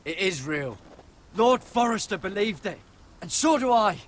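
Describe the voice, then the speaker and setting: A man's voice: high voice, very animated, a little slow. A man playing an earnest, uneasy young deserter in a fantasy video game, speaking with hesitant sincerity. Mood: resolute. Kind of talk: dramatic video game acting.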